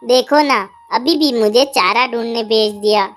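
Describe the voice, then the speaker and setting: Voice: mid-range voice, unhurried, natural voice. A performer voicing a young male calf in a cartoon fable, plaintive and emphatic. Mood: resentful complaint. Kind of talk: cartoon animal fable dialogue.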